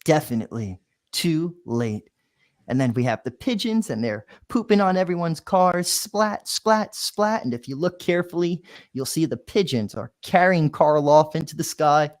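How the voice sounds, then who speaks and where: A man's voice: on the high side, animated, moderate pace. A man, a children's author, reading his picture book aloud playfully, with lots of sound effects.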